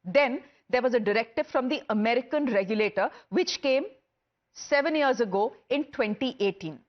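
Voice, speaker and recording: Indian-accented woman, news debate host, high pitch, rhetorical emphatic delivery, muffled band-limited audio. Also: expressive. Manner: emphatic, rhetorical questioning with dramatic pauses. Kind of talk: scripted news monologue to camera.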